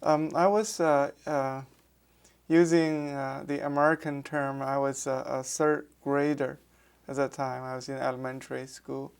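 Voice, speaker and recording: A man's voice: mid-range voice, level tone, measured pace, gravelly, clear, resonant tone. A man in his sixties from Beijing with a Beijing Mandarin accent, a native Mandarin speaker, answering questions in an oral history interview with many hesitations and restarts. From Beijing, China. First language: Mandarin Chinese. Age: 60s. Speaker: architecture professor.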